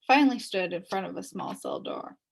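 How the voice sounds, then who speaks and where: A woman reading a children's book aloud as an expressive storyteller. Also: mid-range voice, fairly quick, creaky, slightly rough.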